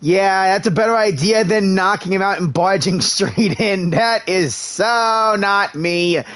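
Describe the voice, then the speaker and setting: A man's voice: high voice, unhurried, fairly bright tone. A man doing live let's-play commentary, playful and hammy, with role-played replies and stammering asides. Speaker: gaming streamer. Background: game music.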